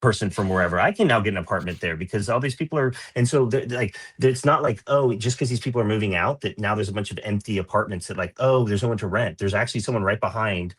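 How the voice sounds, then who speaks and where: Fast-talking husky man. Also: fairly low pitch. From Lima, Peru. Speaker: podcast panelist. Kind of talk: casual political discussion.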